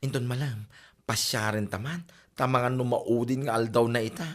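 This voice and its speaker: A man's voice: mid-range voice, fairly even intonation, normal speed, lightly acted. A man acting as a chatty, gossiping neighbourhood friend in an Ilocano comedy drama, teasing and mocking. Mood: mock concern.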